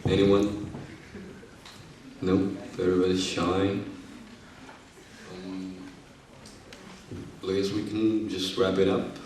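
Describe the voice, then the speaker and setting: A man's voice: low-pitched, monotone, slow, long pauses, a little mellow. A man hosting a casual unscripted interview in front of an audience, hesitant and tentative as he speaks. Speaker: talk show host. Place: echoey room with an audience. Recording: echoey room, distant mic, faint noise.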